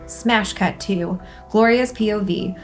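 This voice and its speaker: Female narrator with a medium-pitched voice to read screenplay action lines at a table read. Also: slow, faint music behind. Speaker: narrator reading stage directions.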